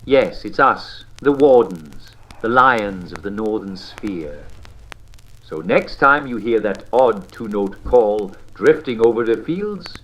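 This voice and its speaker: A man playing an avuncular old-time radio propaganda host, genteel and smugly patriotic, with theatrical phrasing and deliberate pauses. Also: on the low side, animated, poor audio. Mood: smug, soothing. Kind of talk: vintage radio propaganda narration.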